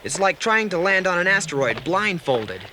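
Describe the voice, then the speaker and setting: Excitable wisecracking space pilot, high-pitched. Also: male voice, moderate pace, slight background noise, full character voice. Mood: grumbling. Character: excitable, grumbling young male space pilot. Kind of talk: 1970s space-opera audio drama acting.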